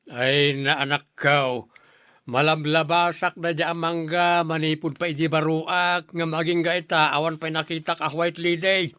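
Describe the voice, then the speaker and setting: A man's voice: a little high, flat delivery, a little slow, pushed and bright, clear, resonant tone, dry recording, studio quality. A man playing an easygoing, down-to-earth father in an Ilocano radio drama. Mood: dismissive.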